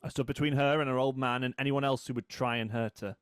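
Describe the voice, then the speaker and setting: An actor playing a cool, needling British man in a drama, a wronged brother with a sardonic, deliberate way of talking. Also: medium pitch, fairly even intonation, clear, resonant tone, lightly acted. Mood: firm, protective. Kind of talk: audio drama acting.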